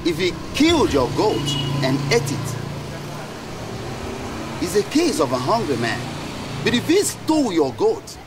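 A man's voice: high voice, huge pitch swings, a little slow, long pauses, heavily acted. An actor playing a lawyer who lectures his client. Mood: lecturing. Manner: lecturing, emphatic. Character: lecturing male lawyer, middle-aged. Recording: outdoors with traffic noise.

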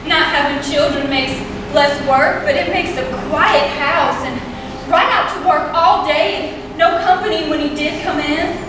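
Young woman playing a rueful farm wife, high-pitched, echoey. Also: fairly even intonation, normal speed, lightly acted. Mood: regretful, reflective. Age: young adult. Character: regretful, plain-spoken farm wife, early 1900s. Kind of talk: amateur stage play acting. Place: small theatre stage, filmed from audience. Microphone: distant camera. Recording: echoey, noisy stage recording from far off.

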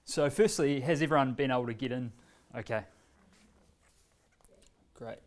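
A man, giving a casual workshop demonstration in a meeting room with many fillers and pauses. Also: mid-range voice. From New Zealand. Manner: standing, walking between screen and laptop. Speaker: presenter demonstrating a learning tool. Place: bright medium-sized meeting room with a screen, indoors. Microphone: far camera.

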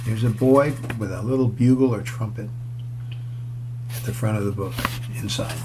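A man's voice: fairly low pitch, moderate pace. A man in his sixties reading a children's storybook aloud, adding simple, casual explanatory asides as if to young children. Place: small furnished room indoors. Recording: clear background noise.

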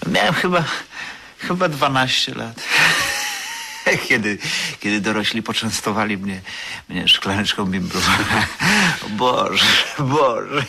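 A man in a 1970s Polish radio drama playing a drunken, wistful bar regular, halting and repeating his words, husky, moving between whispers and cries. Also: medium pitch, very animated, bright, forceful tone, a little hiss or hum, full character voice. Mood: rapturous, nostalgic.

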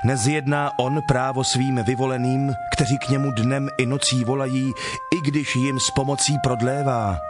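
A man playing Jesus as a solemn, authoritative teacher in a dramatized scripture reading, speaking in measured declarations. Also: mid-range voice, steady tone, close to an everyday voice. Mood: solemn, instructive. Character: Jesus, solemn teacher telling parables and instructing followers. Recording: music behind the voice.

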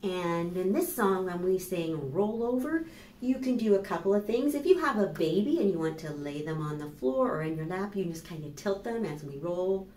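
Animated American woman leading children's storytime. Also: lowish voice, slight background noise. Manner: animated, slow and deliberate for young children. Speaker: children's librarian hosting storytime. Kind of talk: children's storytime narration and instruction. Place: small plain indoor room. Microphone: camera microphone a few steps away. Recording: roomy echo, voice far from mic.

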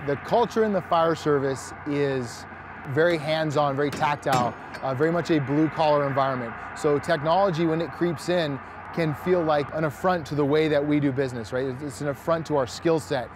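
A man's voice: fairly high pitch, fairly quick, background noise. A man from the fire service speaking earnestly and plainly in an interview.

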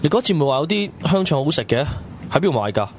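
A man's voice: fairly high pitch, big pitch swings, quick pace, a little hiss or hum. A man with a Hong Kong Cantonese accent, playing a sulky, evasive young man in a radio drama. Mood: guarded.

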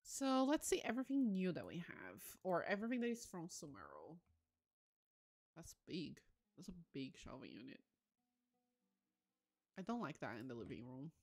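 A woman's voice: low-pitched, wildly swooping pitch, normal speed, long pauses, a little mellow. A woman livestream host giving casual game commentary, musing aloud with enthusiastic exclamations.

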